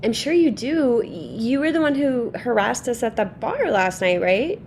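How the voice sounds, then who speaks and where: A woman's voice: fairly high pitch, big pitch swings, moderate pace, creaky, darkish tone, a little hiss or hum. An actress playing a firm, unflappable woman attorney, cool and assertive, in a staged play reading. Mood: cool, defiant.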